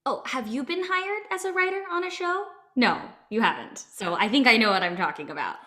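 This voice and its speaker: A woman's voice: on the high side, animated, a little fast, pushed and bright. An actress playing a curt, condescending TV writer in her thirties in a comedy table read.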